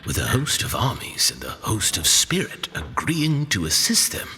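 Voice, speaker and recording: A man playing a solemn old archivist who tells of an epic war in a measured fantasy audio drama style. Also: medium pitch, wildly swooping pitch, pushed and bright, slightly rough, in a large hall, exaggerated voice.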